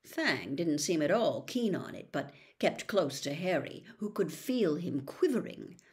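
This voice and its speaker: A woman narrating a storybook as its reader, with an expressive, suspenseful delivery. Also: deep voice, measured pace. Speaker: audiobook reader.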